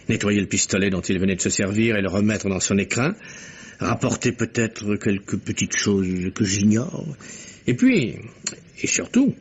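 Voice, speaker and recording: An actor playing a courteous, analytical gentleman detective in a vintage French radio mystery, with precise, theatrical delivery. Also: on the low side, slightly rough. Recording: muffled old radio drama recording.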